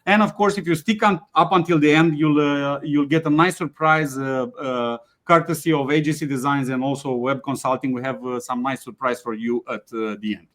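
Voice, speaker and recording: A man hosting a webinar, speaking haltingly with frequent fillers and restarts. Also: mid-range voice, steady tone, a bit bright. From Romania. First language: Romanian. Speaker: webinar host, company CEO. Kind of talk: hesitant webinar presentation with many fillers.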